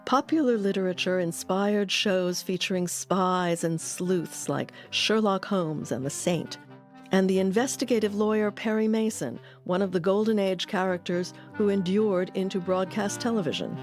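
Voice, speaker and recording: A woman's voice: medium pitch, normal speed, a little mellow, soft background music. A woman delivering scripted documentary narration.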